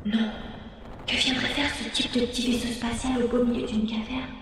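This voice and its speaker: An actress performs a high-pitched, alert woman adventurer in an audio drama. She is urgent and encouraging with her companion. Her voice sits away from the mic in a very echoey hall, with faint noise. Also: flat delivery, normal speed, a bit bright, recorded from a distance, close to an everyday voice. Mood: hushed, uneasy.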